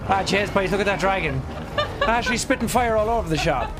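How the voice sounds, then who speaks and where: A man in his thirties with an Irish accent, chatting loudly and swearing while streaming a game from home. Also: high voice, animated, a little fast, a loud crowd. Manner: loud, laughing, swears a lot. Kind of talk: casual chat while gaming.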